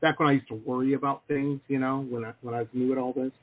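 A man's voice: mid-range voice, little pitch movement, fast talker, a little hiss or hum. A man hosting a podcast interview, chatting casually and teasing his guest with jokes. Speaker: podcast host. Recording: tinny phone-like call audio.

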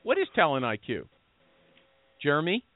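A man hosting a casual panel chat, brisk and jokey as he steers the talk. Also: a little high, wildly swooping pitch, dry recording. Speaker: podcast host. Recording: tinny phone-line audio.

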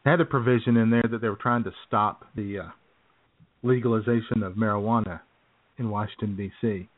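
A man's voice: on the low side, fairly even intonation, brisk. A man hosting a live radio show in a casual, chatty style. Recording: muffled lo-fi internet radio.

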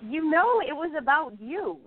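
A woman's voice: high voice, very animated, normal speed. A woman acting a jealous, guarded and easily wounded young actress in an amateur radio play. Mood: defensive. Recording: thin, phone-like band-limited audio.

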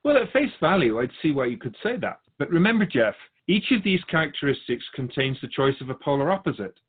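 A man playing a patient, lofty spirit guide who teaches in measured, formal phrases in a scripted audio drama. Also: fairly high pitch, sing-song, husky, phone-quality sound. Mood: measured, explanatory. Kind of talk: scripted dialogue in a fiction podcast.

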